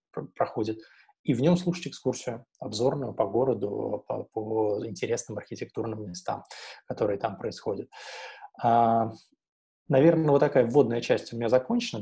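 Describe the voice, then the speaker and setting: A man's voice: on the low side, gravelly, mellow, slightly rough. A man in his thirties giving an informal, explanatory webinar presentation over an earphone headset in a room. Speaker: webinar presenter for a travel audio guide platform. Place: indoor room at home or office, seated. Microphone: wired earphone headset on a video call.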